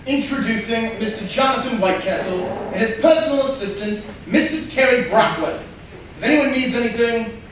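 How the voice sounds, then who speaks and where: An actor playing a curt, formal butler with clipped politeness in a staged comedy reading. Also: male voice, extremely high pitch, moderate pace, fairly bright tone. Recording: very echoey room, distant mic, faint noise.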